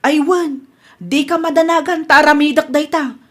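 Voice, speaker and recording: A woman's voice: high-pitched, fairly even intonation, moderate pace, fairly bright tone. A woman with an Ilocano Filipino accent acting a flustered village wife in a radio comedy drama. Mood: sheepish. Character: flustered, blame-casting village wife, later apologetic.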